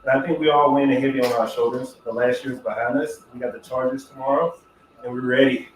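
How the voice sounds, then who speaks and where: A man's voice: mid-range voice, fairly even intonation, fast, a little hiss or hum. A man, a football player, answering press questions in a reflective, motivational way. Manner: reflective, uses motivational metaphors. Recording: echoey room, far from mic.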